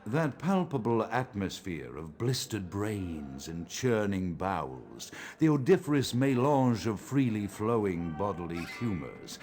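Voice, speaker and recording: An actor playing a pompous, morbid old vampire psychiatrist in a video game, with grandiloquent, brooding and precise diction. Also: male voice, on the low side, sing-song, a little slow, few pauses, darkish tone, faint music behind. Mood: morbid nostalgia. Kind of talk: theatrical monologue, video game voice acting.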